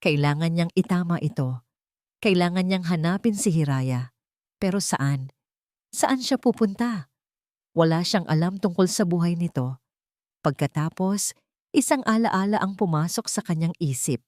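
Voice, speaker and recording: A woman's voice: fairly low pitch, animated, measured pace, pauses often, darkish tone, no room sound, clean studio sound. A woman with a Filipino accent reading a dramatic love story as a scripted narration.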